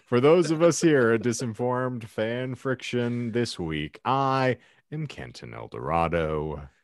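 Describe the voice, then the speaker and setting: A man's voice: medium pitch, lively intonation, slow pace, no echo, studio quality. A man hosting a casual podcast with florid, tongue-in-cheek, wordy phrasing. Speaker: podcast host. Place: indoors over a video call.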